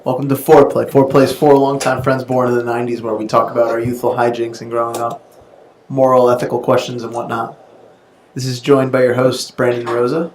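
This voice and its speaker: A man in his twenties co-hosts a casual podcast, relaxed and quick to laugh. His voice sits at a middle pitch. The room is echoey, with a faint hiss behind him. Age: 20s. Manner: laid-back, laughs often, drawn-out intro delivery. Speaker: podcast co-host. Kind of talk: casual chat among friends.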